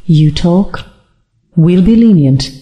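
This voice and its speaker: An actor playing a hard, threatening interrogator in an audio drama. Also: male voice, sits high, animated, slow pace, dark tone.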